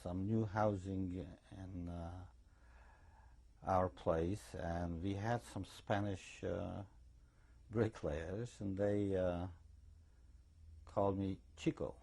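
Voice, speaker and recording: Older man, gravelly Eastern European accent. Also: sits low, fairly even intonation, mellow. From eastern Czechoslovakia. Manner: deliberate, anecdotal storytelling. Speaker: interviewee giving survivor testimony. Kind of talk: recorded oral history testimony.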